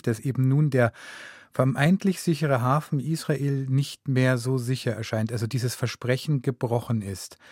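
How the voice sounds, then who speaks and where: A man's voice: medium pitch, darkish tone, husky, no echo, clean studio sound. A man interviewing a guest for broadcast, asking carefully phrased, probing questions.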